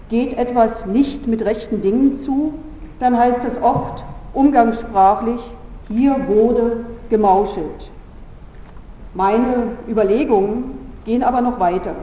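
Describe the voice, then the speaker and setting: A woman's voice: on the high side, dark tone, a little hiss or hum. Earnest woman speaking in an echoey hall. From Berlin, Germany. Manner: measured and earnest, with deliberate pauses. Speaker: politician giving a conference speech. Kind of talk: formal prepared speech. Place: large conference hall. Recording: echoey hall, dull sound, faint ambience.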